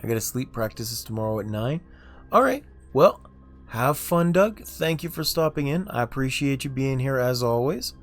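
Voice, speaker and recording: A man streaming a video game, talking through his moves in a low-key, muttering way. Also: animated, normal speed, faint music behind. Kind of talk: casual gameplay commentary.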